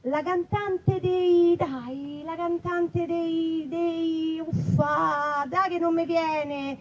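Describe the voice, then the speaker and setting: A man's voice: very high voice, steady tone, drawn-out words, lo-fi sound, a little hiss or hum, pitched above the performer's own voice, heavily acted. A woman voicing an exasperated young man groping for a forgotten name, in a live reading. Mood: exasperated, searching.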